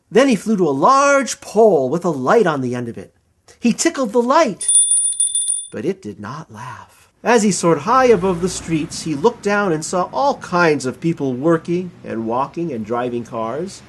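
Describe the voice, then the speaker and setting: Animated man reading a children's storybook. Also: fairly high pitch, slight background noise. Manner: animated, expressive, playful emphasis. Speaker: author reading own picture book. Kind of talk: children's storybook read-aloud.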